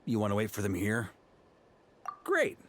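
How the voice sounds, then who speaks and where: An actor playing a seasoned, easygoing knight commander in a video game, talking with casual, measured confidence. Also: male voice, fairly low pitch, huge pitch swings, fairly bright tone, slight background noise, heavily acted. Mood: easygoing, assured. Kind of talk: video game dialogue acting.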